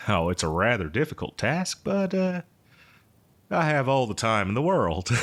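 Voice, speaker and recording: An eccentric, cheerful botanist from before the apocalypse, played by a man, talks straight to the listener in an audio roleplay. His delivery is slowish and highly animated, swooping up and down as he rambles. He hesitates and stretches out words in a folksy, slightly low voice. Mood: eager, enthusiastic. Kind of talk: roleplay audio drama monologue addressed to listener.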